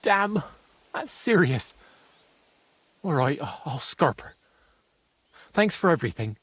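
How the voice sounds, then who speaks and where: Naive young apprentice, husky and animated. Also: male voice, on the high side, takes it slowly, no echo, full character voice. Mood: resigned. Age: young adult. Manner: artless, hesitant when pressed. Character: naive, simple-hearted young craftsman's apprentice. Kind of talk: video game dialogue acting.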